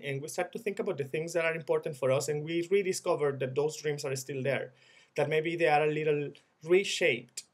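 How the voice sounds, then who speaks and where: Earnest Venezuelan man, slightly high voice. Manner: earnest, reflective, with frequent repeated words and restarts. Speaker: former student-organization leader sharing experience. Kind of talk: recorded video message, personal reflection.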